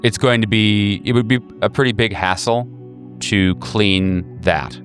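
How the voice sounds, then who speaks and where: Low voiced guy game mastering a horror rpg, kinda halting, making it up as he goes, faint music behind. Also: fairly bright tone. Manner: halting, searching for words. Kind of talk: improvised narration of a tabletop horror game.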